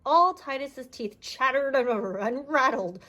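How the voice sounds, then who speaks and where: Female children's audiobook narrator: high, slow-paced, animated storytelling.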